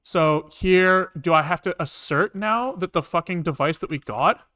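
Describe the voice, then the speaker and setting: A man's voice: high voice, moderate pace, pushed and bright, dry recording. Man muttering through code, dry close sound. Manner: muttering, casually sweary, reading code aloud in fragments. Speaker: programming livestreamer. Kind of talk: thinking aloud while coding on a livestream.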